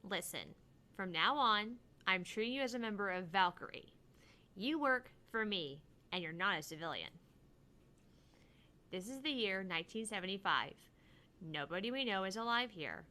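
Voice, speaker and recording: A woman's voice: mid-range voice, expressive, normal speed, pushed and bright. Woman voicing a stern, blunt young female soldier. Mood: commanding, grave. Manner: blunt, commanding. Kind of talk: visual novel character reading.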